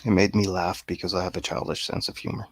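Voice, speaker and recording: A man's voice: low voice, steady tone, fast, no echo. A man speaking as a guest, chipping in with short, casual remarks in a group discussion. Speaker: guest on the show. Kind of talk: casual chat, brief interjections.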